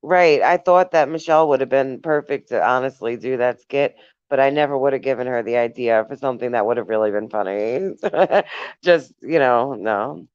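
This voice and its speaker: A woman's voice: a bass voice, clear, resonant tone, no room sound, muffled recording. A woman hosting a casual live stream, reading viewer comments aloud, laughing often and speaking fondly to her viewers. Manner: giggly, laughs often between remarks; affectionate toward viewers.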